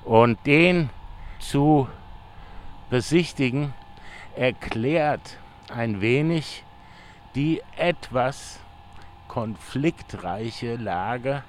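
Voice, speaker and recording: A man leading the interview as a local guide, explaining things in an easygoing, unhurried way outdoors in a park. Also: medium pitch, expressive, a little hiss or hum. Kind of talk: casual outdoor conversation.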